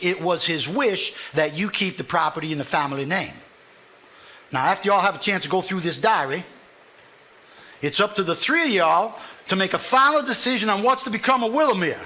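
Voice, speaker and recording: A man with a Southern US accent playing a formal, measured family lawyer reading a will aloud in a live stage drama. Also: sits high, lively intonation, pushed and bright, heavily acted. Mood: explanatory. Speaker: stage actor.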